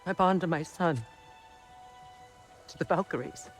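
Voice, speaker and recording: A woman playing a proud, wounded goddess and warrior queen in middle age, speaking solemnly and deliberately in a dramatic video game. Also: lowish voice, faint music behind, close to an everyday voice. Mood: bitter, resolute.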